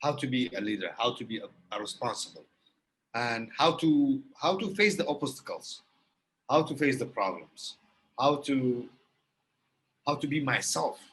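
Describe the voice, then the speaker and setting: A man's voice: medium pitch, expressive, normal speed, fairly bright tone, slight background noise, poor audio. Earnest man. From Iraq. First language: Kurdish. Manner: earnest and emphatic, repeating phrases for effect. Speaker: guest. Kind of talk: heartfelt conversation.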